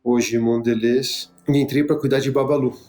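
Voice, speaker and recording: A man's voice: medium pitch, flat delivery, moderate pace, mellow. A man from São Paulo, a guest explaining his marketing career plainly, tagging sentences with "né". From São Paulo, Brazil. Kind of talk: casual interview chat.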